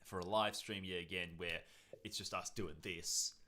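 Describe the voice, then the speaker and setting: Bright, low-pitched man chatting casually. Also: fairly even intonation, normal speed, slight background noise. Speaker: podcast co-host. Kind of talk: casual chat.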